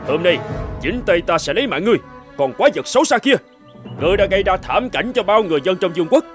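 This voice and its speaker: A man plays a bold young fairy-tale cartoon prince, rather high in pitch and animated. He declaims earnestly over faint music. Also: fast. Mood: defiant. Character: brave, earnest young prince, one of three brothers.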